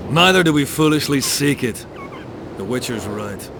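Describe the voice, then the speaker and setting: A man plays a cool mercenary captain in a fantasy game. He speaks with a gravelly edge and measured authority, over clear background noise. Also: mid-range voice, lively intonation, lightly acted. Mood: composed, dry. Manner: measured, commanding. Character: cool, hard-bitten male mercenary commander. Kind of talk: video game character acting.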